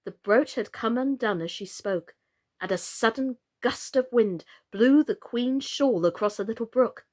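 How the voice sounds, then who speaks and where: A woman giving an expressive scripted reading of a story for children. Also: fairly high pitch, measured pace. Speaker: storybook reader, actress.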